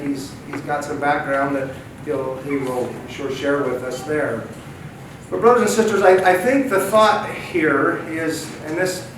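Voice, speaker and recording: A man introducing a church lesson, earnest and halting, often repeating his words. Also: fairly high pitch. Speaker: bishopric member leading a church lesson. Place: church meeting room. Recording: echoey hall, distant mic, noisy.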